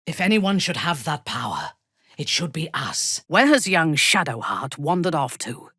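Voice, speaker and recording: Fantasy game dark elf warlord, female: low, unhurried, breathy, commanding, coldly precise. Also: sing-song, no room sound, clean studio sound, heavily acted. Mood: intimate, solemn. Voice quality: husky, breathy. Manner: slow, deliberate, imperious, precise. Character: cold, commanding female dark elf warrior, menacing and disdainful. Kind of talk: dramatic fantasy game voice acting.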